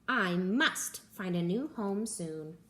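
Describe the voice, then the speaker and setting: A young woman, a teacher, reading a picture book aloud to children at home in a gentle, animated storytelling manner. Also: medium pitch, takes it slowly. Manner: gentle, animated storytelling with gasps and character voices. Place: living room at home.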